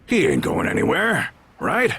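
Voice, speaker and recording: An actor playing a gruff, sly, commanding brigand leader in a video game, with a husky, gravelly voice and a folksy, teasing drawl. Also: male voice, a little high, huge pitch swings, measured pace, fairly bright tone, dry recording, full character voice. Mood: amused, commanding. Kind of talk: video game cutscene acting.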